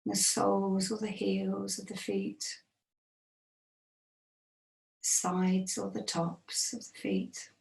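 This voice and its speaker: Woman, meditation teacher, mid-range voice, gentle guided body scan, unhurried with long pauses, roomy echo. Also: medium pitch, flat delivery, vocal fry. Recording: roomy, echoing sound.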